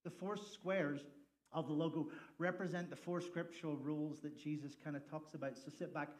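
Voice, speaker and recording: A man's voice: a little high, fairly even intonation, normal speed. Male pastor, casual and joking from the pulpit, slightly raised voice. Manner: joking, chatty, loud laughing asides. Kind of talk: sermon opening with jokes. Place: church sanctuary.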